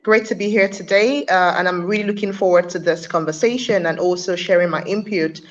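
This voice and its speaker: A woman, a human rights lawyer, introducing herself politely and calmly on a video call. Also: lowish voice, a little fast. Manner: polite and composed. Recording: muffled video-call audio.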